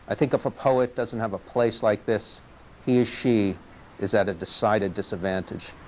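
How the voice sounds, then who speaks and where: Wry man reading an essay, lower voice. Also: a little hiss or hum, rough recording. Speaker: local poet. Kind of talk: reading a personal essay aloud. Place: recorded on location for public access TV.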